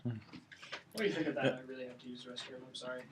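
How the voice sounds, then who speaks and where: A man's voice: medium pitch, steady tone, fast talker. A man running a tabletop roleplaying game as game master, narrating casually off the cuff. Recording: distant mic with clear background noise.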